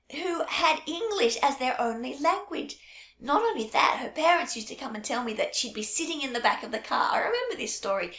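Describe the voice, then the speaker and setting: A middle-aged woman with a high voice talks to camera as a teacher of small children. She tells her stories in a warm, reassuring way. The sound is roomy and a little muffled. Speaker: early childhood French teacher. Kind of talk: casual direct-to-camera talk. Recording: echoey room, dull lo-fi sound.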